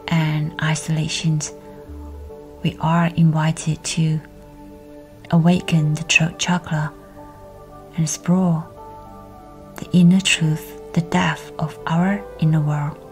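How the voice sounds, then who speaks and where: A woman leading a scripted guided meditation in a hushed, breathy, near-whispered voice, soothing and measured. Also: sits low.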